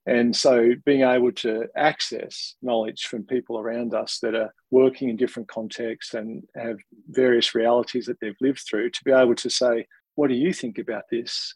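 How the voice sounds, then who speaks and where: An Australian man, a senior leader in an organisation, giving thoughtful, measured answers in an interview, with small restarts. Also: medium pitch, husky, no room sound, rough recording.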